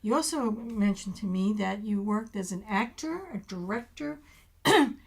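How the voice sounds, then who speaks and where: A woman's voice: mid-range voice, animated, unhurried, vocal fry, husky. A woman hosting a community TV interview, speaking hesitantly with many ums and uhs. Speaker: host.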